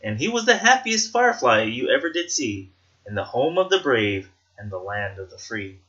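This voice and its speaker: A man reading a rhyming children's picture book aloud with an expressive, sing-song rhythmic delivery. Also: mid-range voice, moderate pace, lo-fi sound.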